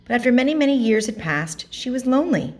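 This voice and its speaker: A woman's voice: fairly high pitch, very animated, fast talker. Woman reading a children's storybook expressively. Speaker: storybook reader. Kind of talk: expressive children's read-aloud narration.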